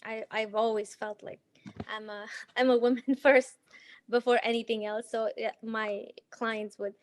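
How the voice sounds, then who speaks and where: A woman raised in Afghanistan speaks as a guest, earnest and hesitant, often restarting her sentences. Her voice sits fairly high. The sound is close and dry, with no room around it. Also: level tone, a little slow, no echo. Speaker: interview guest, healer. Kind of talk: casual interview conversation.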